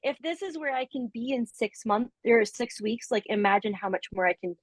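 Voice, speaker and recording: A young woman giving a coaching testimonial in casual conversation, halting and full of fillers and restarts, often laughing. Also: fairly high pitch, animated, dry recording.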